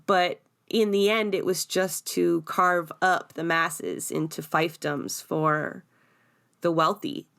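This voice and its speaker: A woman's voice: on the low side, steady tone, takes it slowly, creaky, a bit bright. A Canadian woman hosting a podcast, speaking in a conversational political discussion. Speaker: podcast host.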